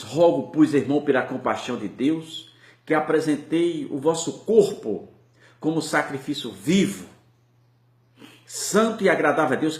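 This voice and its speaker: Impassioned Brazilian preacher in an echoey church. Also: male voice, medium pitch. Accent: Brazilian Portuguese. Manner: impassioned, rising and falling preaching. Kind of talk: sermon.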